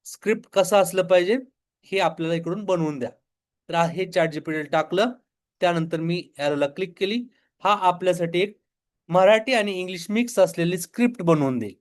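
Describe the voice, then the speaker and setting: Male tutorial instructor, Marathi accent, notably high voice, explains each step and asks then answers his own questions. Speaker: online business tutorial instructor. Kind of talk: step-by-step screen demo tutorial.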